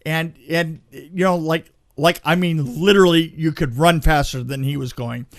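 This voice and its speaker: A man in casual chat, telling anecdotes in a rambling, animated way. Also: fairly high pitch, normal speed, dry recording, clean studio sound. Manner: rambling storyteller, acts out sound effects.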